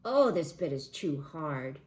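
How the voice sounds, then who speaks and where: A woman's voice: sits low, sing-song, darkish tone, full character voice. A woman voicing a young storybook girl with a childlike way of talking, a little fussy and earnest. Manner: childlike, halting.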